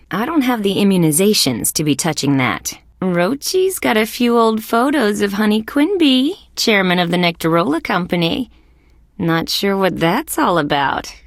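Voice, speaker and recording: A woman playing a wry, skeptical young female police detective in a video game, with a deadpan, sardonic delivery. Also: lowish voice, creaky, no echo, clean studio sound, full character voice. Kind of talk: scripted game character lines.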